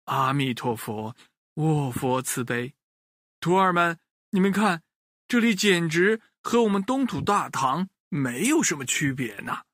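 A man doing a gentle solemn old monk. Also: on the high side, big pitch swings, dry recording, clean studio sound, exaggerated voice. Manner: slow, solemn, kindly. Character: gentle old male monk master, compassionate.

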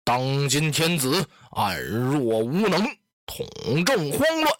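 A storyteller voicing a menacing, tyrannical middle-aged male chancellor who speaks slowly and low in a commanding way. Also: big pitch swings.

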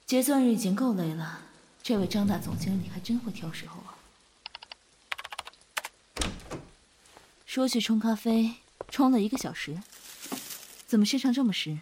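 An actress playing a composed, caring female company director in an audio drama, reserved and decisive, speaking in a calm, controlled way. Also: mid-range voice, brisk, a little hiss or hum, natural voice. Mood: weary. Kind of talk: Mandarin audio drama acting.

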